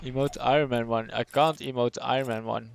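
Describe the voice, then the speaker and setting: A young man from the Netherlands with a Dutch accent, chatting casually as he streams a game, answering chat and thinking out loud. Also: medium pitch, steady tone, brisk, vocal fry, slight background noise. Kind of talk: live gameplay commentary, reading chat.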